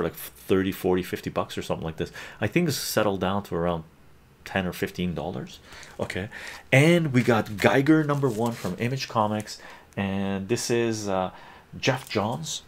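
A man's voice: on the low side, animated, moderate pace. An older man running a casual live-stream auction from a plant-filled room, chatty, repeating himself and laughing often. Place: sunny room full of plants, indoors.

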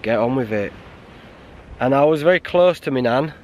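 English man, 30s, hiking vlogger; subdued, halting talk to a handheld camera outdoors, faint wind noise. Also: mid-range voice, animated, a little mellow, muffled recording. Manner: subdued, halting and grieving, with many restarts. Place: outdoors on a windy winter mountain, sheltering behind a stone wall. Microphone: camera held close at arm's length.

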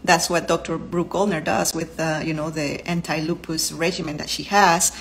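A Spanish-accented woman in her thirties speaks earnestly to the camera from her living room and explains things in a casual, direct way. Her voice sits a little low for a woman. A faint hum of the room is heard behind her. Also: steady tone, normal speed, vocal fry. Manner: earnest, explanatory, lists terms one by one. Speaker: vlogger and doctor. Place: home living room, indoors.

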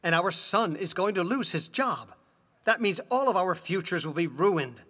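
A man's voice: high voice, lively intonation, moderate pace, sharp tone, husky, exaggerated voice. A man playing an anxious middle-aged husband, pleading and exasperated, in a dubbed stage drama. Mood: worried, persuading. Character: anxious, pleading husband afraid of losing his job. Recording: reverberant hall-like sound.

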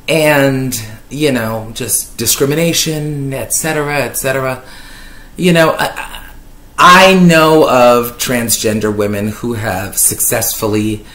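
A woman from Lebanon in her thirties, talking casually to her webcam from a sofa, chatty and wordy with a dry, ironic streak and plenty of fillers. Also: rumbling low. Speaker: YouTuber. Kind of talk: casual commentary vlog. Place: living room, on a sofa. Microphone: webcam at desk distance. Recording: echoey room.

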